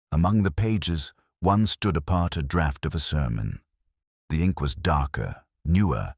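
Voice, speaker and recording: A man playing a world-weary older detective inspector, narrating a mystery audio drama in a measured, literary, brooding manner. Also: rumbling low, husky, no room sound, studio quality, heavily acted.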